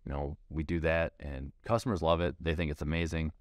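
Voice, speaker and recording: A man's voice: very deep voice, flat delivery, fairly quick, no echo, studio quality. A man in his thirties, a plumbing salesperson, explaining his work in a practical, detailed way and often repeating words as he thinks. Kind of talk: casual interview answers. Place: TV studio set.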